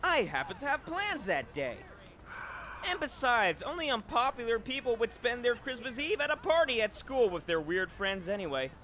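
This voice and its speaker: A man's voice: extremely high pitch, very animated, moderate pace, bright, forceful tone, a little hiss or hum, heavily acted. A man acting a goofy, boastful teenage schoolboy in an anime fandub, smug and hammy.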